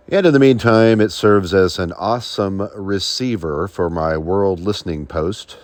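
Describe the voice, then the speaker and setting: A man's voice: low voice, dry recording. An American man in his fifties giving plain-spoken, folksy how-to narration as a hobbyist video host. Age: 50s.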